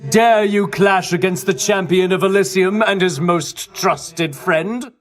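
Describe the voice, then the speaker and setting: An actor playing a pompous, self-righteous Greek champion in a video game, declaiming in grandiose archaic phrases with theatrical scorn. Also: male voice, high-pitched, measured pace, fairly bright tone. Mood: haughty, scornful. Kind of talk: video game character voice acting.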